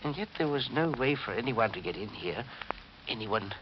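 An actor playing a frightened, deferential manservant in a 1940s radio mystery, recorded on a muffled, noisy old broadcast. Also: male voice, mid-range voice, expressive, moderate pace, lightly acted. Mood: hushed dread.

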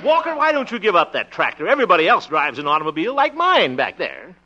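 A man's voice: sits high, wildly swooping pitch, fast talker, crowd noise. An actor playing a blustery, sarcastic small-town man in a 1950s radio sitcom, theatrical and easily exasperated. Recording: noisy, muffled 1950s radio recording.

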